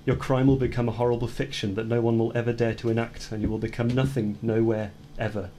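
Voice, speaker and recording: An actor playing a brooding man who confesses violent fantasies of retribution in a deliberate, intense radio play monologue. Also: medium pitch, little pitch movement, warm tone, slight background noise, close to an everyday voice. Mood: grim, vengeful.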